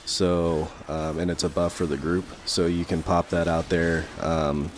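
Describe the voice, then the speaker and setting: Deep-voiced man, slightly raspy gamer. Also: little pitch movement, measured pace, slight background noise. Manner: rambling, many fillers and restarts. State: slightly raspy from long talking. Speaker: gameplay commentator. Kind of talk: casual game commentary.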